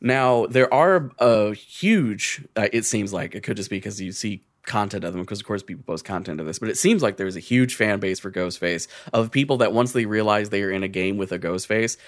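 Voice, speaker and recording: A man's voice: lowish voice, brisk, few pauses, no echo, clean studio sound. A man hosting a casual commentary on a video game, joking and swearing as he goes. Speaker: podcast host.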